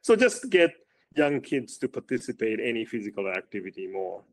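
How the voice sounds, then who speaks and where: A man with a loud voice, a running coach answering interview questions and thinking aloud as he moves between points. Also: mid-range voice, big pitch swings, moderate pace.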